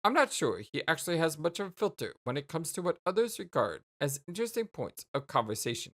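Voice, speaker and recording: A woman's voice: low voice, very animated, fast. A woman voicing an oblivious, apologetic young man who rambles in wordy, old-fashioned folksy slang in a dramatic dialogue. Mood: chatty, oblivious.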